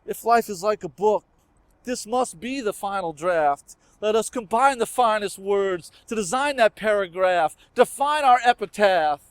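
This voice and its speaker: A man's voice: sits high, animated, unhurried, clear, resonant tone, no echo. A man performing rhymed spoken word poetry with a rhythmic, emphatic and earnest delivery.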